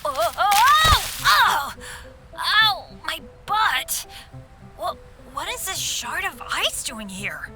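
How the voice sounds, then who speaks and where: A teenage boy adventurer in an anime game. He sounds earnest, apologetic and stubbornly optimistic, with a high boyish pitch over clear background music. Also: very animated, measured pace, few pauses, poor audio. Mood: pained, startled. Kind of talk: anime video game voice acting.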